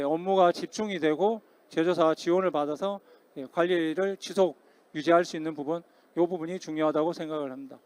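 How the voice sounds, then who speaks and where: A man speaking as a technical expert in a formal conference Q&A, explaining politely and hesitantly with frequent pauses. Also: high-pitched, darkish tone, smooth, no echo. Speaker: conference speaker, security company technical director answering questions. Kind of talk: formal Q&A after a presentation. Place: conference hall stage, amplified talk.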